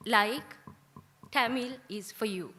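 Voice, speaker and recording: Woman whose first language is Sinhala, slow and high. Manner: unscripted, warm address with pauses and restarts. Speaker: guest speaker. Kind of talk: speech at an awards ceremony. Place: ceremony hall with audience.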